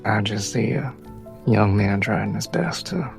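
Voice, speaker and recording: A frail, kindly fantasy traveler, played by a man, worn out and reflective. His voice is gravelly and very slow, with music underneath. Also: on the low side. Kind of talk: fantasy roleplay dialogue.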